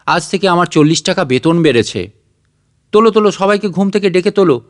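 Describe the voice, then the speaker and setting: A man's voice: high-pitched, fairly quick, lightly acted. A man playing a cheerful, affectionate father in an audiobook story, calling out heartily. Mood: excited, calling out. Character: cheerful excited poor father home with a big fish. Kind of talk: audiobook character acting.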